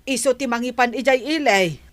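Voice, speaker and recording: A woman's voice: a little high, a little fast, close to an everyday voice. A woman playing a stern, nagging village grandmother in a radio drama, with an Ilocano Filipino accent. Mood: bossy. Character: bossy, scolding village grandmother.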